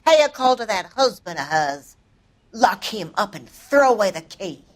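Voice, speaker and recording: A woman's voice: mid-range voice, very animated, normal speed. A woman playing a blunt, resentful witness in a 1940s detective video game, guarded and bitter in manner. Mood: bitter, scornful. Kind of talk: scripted dramatic game acting.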